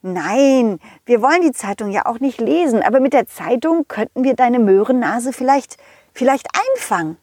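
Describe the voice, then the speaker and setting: A woman's voice: fairly high pitch, lively intonation, normal speed, warm tone, slightly rough, no room sound, clean studio sound. A woman voicing a confident, loyal dog in a children's audio play, encouraging and reassuring, always offering a solution. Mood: eager, explaining. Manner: encouraging, reassuring problem-solver.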